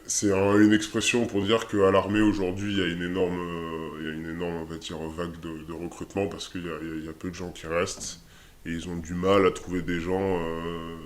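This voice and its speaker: Un homme d'une vingtaine d'années, soldat, qui répond en entretien de façon décontractée et hésitante, avec beaucoup de « euh » et de reprises. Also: male voice, sits low. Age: 20s. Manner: hesitant, many fillers and restarts. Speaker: interviewee, army soldier. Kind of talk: casual interview answers.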